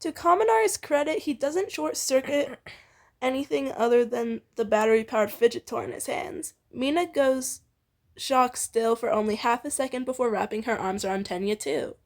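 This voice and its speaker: High-pitched young woman reading fan fiction. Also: normal speed, gravelly. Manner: giggly, teasing. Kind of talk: casual read-aloud of fan fiction with banter.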